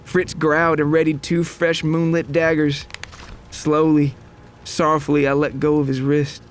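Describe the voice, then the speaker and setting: A man's voice: fairly high pitch, monotone, normal speed, a little hiss or hum. A man narrating a scripted first-person horror story in a dramatic, solemn, suspenseful manner.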